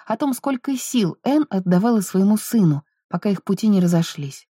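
A woman narrates a novel in a soft, mellow voice. The sound is dry, with very little room around it. Her delivery is scripted literary storytelling. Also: medium pitch, expressive, moderate pace, breathy edge, no echo. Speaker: audiobook narrator.

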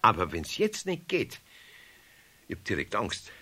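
A man playing a gruff but good-hearted, flustered older craftsman with a Bavarian accent in a children's radio play. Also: on the low side, unhurried, exaggerated voice. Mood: exasperated, flustered. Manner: grumbling, excitable. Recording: noisy 1970s radio play recording.

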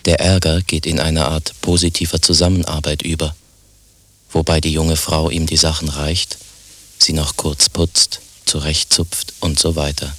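A man acting as the detached narrator of a radio play, reading stage directions tersely and deadpan. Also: extremely low pitch, unhurried, a little hiss or hum. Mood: matter-of-fact.

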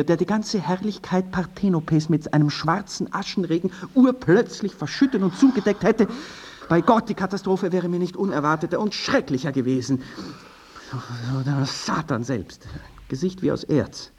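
An actor playing Mozart as a witty, exuberant composer telling a florid story in a German radio drama. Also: male voice, fairly high pitch, normal speed, husky. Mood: dramatic, mock horror. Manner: florid, animated, theatrical storytelling.

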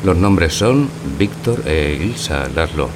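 A man's voice: animated, slow. A man from playing a bewildered, wounded lover in a radio theatre parody of a classic film. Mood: matter-of-fact. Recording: clear background hum and rumble.

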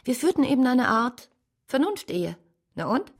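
A woman's voice: high voice, sing-song, moderate pace, slightly rough, exaggerated voice. An actress playing a rueful married woman confiding her past in an intimate radio play monologue.

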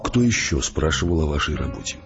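A huge, grim, gravelly interrogator. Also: male voice, a bass voice, big pitch swings, a little fast, lo-fi sound, loud music behind the voice, full character voice. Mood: curt, probing. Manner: curt, insistent, interrogating. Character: huge, stooped, grim man questioning his neighbor. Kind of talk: Russian audio drama acting.

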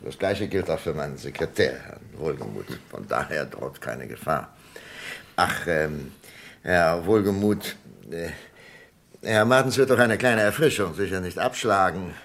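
An actor playing a commanding ex-spymaster boss in a German radio crime drama, authoritative but halting with small hesitations. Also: male voice, sits low, lively intonation, creaky, fairly bright tone, exaggerated voice. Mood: dryly polite. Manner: authoritative, hesitant pauses with filler sounds. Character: commanding male boss, ex-spymaster dictating his memoirs.

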